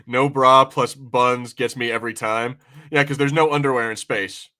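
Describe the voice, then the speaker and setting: Male podcast host, bright punchy voice at average pitch, teasing and opinionated, casual swearing. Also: fairly even intonation, normal speed. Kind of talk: casual chat, commentary with live chat comments.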